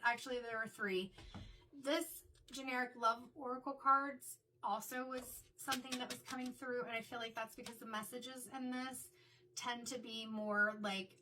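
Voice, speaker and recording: Bright-voiced woman, slightly raspy, from across a room. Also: a little high, steady tone, moderate pace, recorded from a distance, a little hiss or hum. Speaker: intuitive card reader and medium. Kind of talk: casual spoken tarot reading intro.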